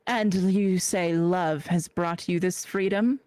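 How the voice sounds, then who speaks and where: Woman doing proud male host, haughty and curt, low-ish voice, dry close sound. Tabletop role-play. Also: fairly even intonation, slow, darkish tone, no room sound.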